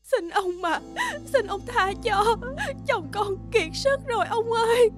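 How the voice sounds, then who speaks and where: A woman voicing a desperate peasant wife in a cartoon, pleading in a wail. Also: extremely high pitch. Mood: pleading, distraught. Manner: slow, wailing plea. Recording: music behind the voice.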